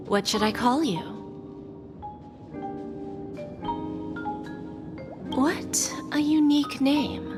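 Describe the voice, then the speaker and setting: An actress playing an alluring, mysterious woman pawnbroker in a video game, courteous and calculating, with silky breathy phrase endings. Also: fairly high pitch. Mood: inviting, curious. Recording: loud music under the voice.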